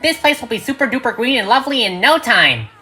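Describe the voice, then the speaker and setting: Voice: mid-range voice, sing-song, fast talker, pushed and bright, soft background music, voice pushed up. A narrator voicing a bubbly, cheerful young female unicorn with a perky, chirpy delivery.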